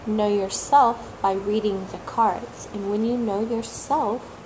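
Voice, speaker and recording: A woman for whom English is a third language, talking slowly and reflectively as a tarot reader, repeating words for emphasis and sometimes searching for them. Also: mid-range voice, steady tone, noisy background. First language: not English; English is her third language. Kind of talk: slow reflective monologue.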